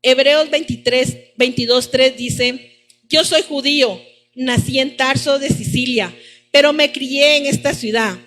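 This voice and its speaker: A woman's voice: sits high, steady tone, unhurried, fairly bright tone. A woman teaches from scripture at a church service. She speaks didactically to the congregation, repeating phrases to drive points home. Music plays behind her. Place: church service, live-streamed.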